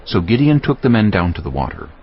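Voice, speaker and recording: A male narrator with a deep, creaky voice and highly animated delivery. He reads scripture as dramatic storytelling over music. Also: fast talker, slight background noise. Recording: muffled audio under music.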